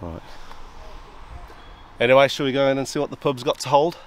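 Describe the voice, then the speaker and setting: A man's voice: mid-range voice, fairly even intonation, a little fast, soft background music. A man, hosting a beer travel vlog in a chatty, wry way and swearing casually, talking to a camera in a small room.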